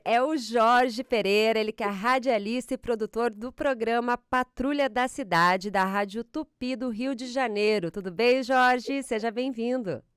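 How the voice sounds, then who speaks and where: Woman, podcast host, smooth clear voice, dry close sound, friendly. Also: fairly high pitch, dry recording. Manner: friendly, smooth scripted intro. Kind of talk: hosting an interview podcast.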